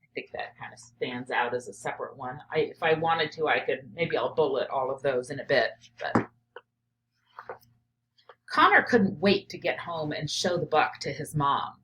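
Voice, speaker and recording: A woman teacher reading a picture book aloud and pausing to reflect on it out loud, often checking in with "right?". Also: low voice, slight background noise, rough recording. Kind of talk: read-aloud of a picture book with think-aloud commentary.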